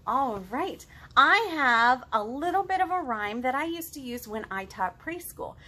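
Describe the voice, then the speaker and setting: A woman in her thirties hosting a children's storytime, reading and chatting to young kids in an expressive, sing-song, exaggerated way. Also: high voice, unhurried, slight background noise. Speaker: children's librarian, storytime host. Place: living room at home, indoors.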